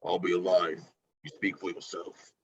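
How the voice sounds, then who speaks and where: A man playing a gruff, guarded mercenary father with a husky voice and terse delivery in an amateur table read. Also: low-pitched, little pitch movement, moderate pace. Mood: stern, dry. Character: gruff, guarded middle-aged mercenary father, quietly protective. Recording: dull lo-fi microphone.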